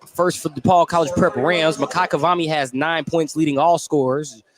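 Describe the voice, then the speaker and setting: A man's voice: fairly high pitch, steady tone, normal speed, a crowd in the background. A man working as play-by-play announcer, giving live basketball commentary on the radio.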